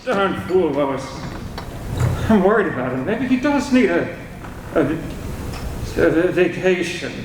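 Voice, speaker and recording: A man playing an irascible old newspaper editor in a radio drama, gruff and flustered, stammering as he blusters. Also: high-pitched, lively intonation, slow, pauses often. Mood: puzzled, gruff. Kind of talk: old-time radio drama acting on stage. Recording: echoey hall, distant mic, faint noise.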